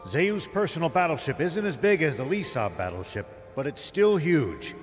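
An actor playing a stern older military veteran in a video game, speaking with grave, commanding urgency. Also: male voice, on the high side, big pitch swings, normal speed, in a large hall, soft background music. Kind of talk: dramatic video game voice acting.

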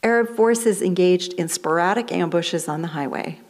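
A woman minister in her fifties with an American accent speaks at a lectern microphone in a fellowship hall. Her voice sits low for a woman, and her delivery is measured and explanatory as she walks through history in a sermon.

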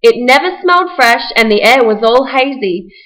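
A woman with a fairly high voice narrates a children's storybook. She reads scripted rhyming verse as the narrator of a puppet show. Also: expressive, normal speed, fairly bright tone, poor audio.

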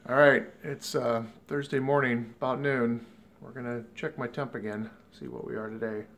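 A man in his fifties with a gravelly, creaky voice, stuffed up and a bit rough. He talks in a low-key, halting way, with lots of "uh"s. He films himself on a phone held at arm's length in his living room. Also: flat delivery, a bit bright, a little hiss or hum. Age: 50s. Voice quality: a little rough. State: congested, stuffed up. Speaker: vlogger. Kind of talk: casual home video diary. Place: living room at home by a fireplace.

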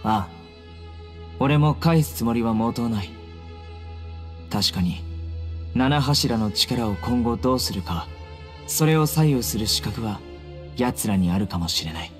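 A man playing a solemn, resolute young guardian warrior in an anime game, speaking in a measured, serious, blunt way. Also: medium pitch, expressive, soft background music, natural voice. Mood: grave, resolute.

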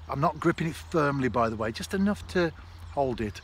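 A man in his fifties giving a casual instructional fishing vlog in a measured, explanatory way, in short phrases. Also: medium pitch, lively intonation. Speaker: angler and vlogger. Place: outdoors on a canal bank. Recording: clear outdoor background noise.

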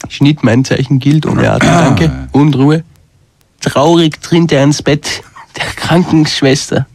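Male radio narrator, mock-solemn, slightly high voice, unhurried delivery. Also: fairly even intonation, darkish tone. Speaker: radio comedian as narrator and director of a radio play. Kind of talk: slow, solemn scripted narration with curt studio directions.

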